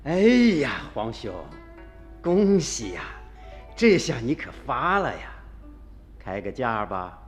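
A man's voice: medium pitch, sing-song, takes it slowly, pauses often, soft background music. An actor playing a cautious, shrewd male antiques dealer bargaining hard in a radio drama. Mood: wary.

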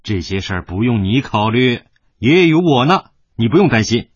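Husky, emotional middle-aged father. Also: medium pitch, big pitch swings, moderate pace, dry recording, dull audio. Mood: coaxing. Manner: emotional, sighing, emphatic. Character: devoted working-class father, proud, torn and stern. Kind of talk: Chinese radio drama acting.

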